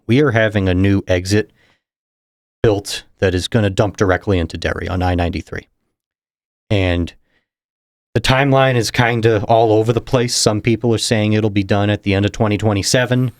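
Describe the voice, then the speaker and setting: A young man from New Hampshire, a political candidate, explaining things in a measured, casual interview manner. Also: fairly low pitch, no echo, clean studio sound. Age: young adult. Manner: measured, explanatory, chuckles between points.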